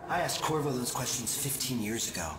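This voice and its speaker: An actor playing a cryptic otherworldly male spirit in a video game, deliberate, coolly taunting and theatrical. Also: mid-range voice, animated, close to an everyday voice. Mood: cool, challenging. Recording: echoing, with prominent music behind.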